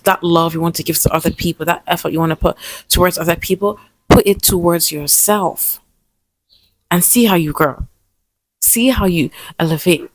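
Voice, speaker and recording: Young woman with a lisp, gravelly voice. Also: fairly low pitch, no echo, poor audio. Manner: soft-spoken, hesitant, many restarts. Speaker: vlogger. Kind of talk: personal vlog chat.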